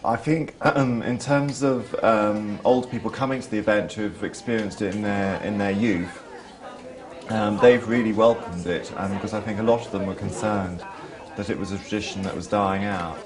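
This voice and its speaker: A man with a fairly low voice explains hesitantly, with many ums and uhs. Music sits clearly under his speech. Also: steady tone, normal speed, a crowd in the background. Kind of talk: interview.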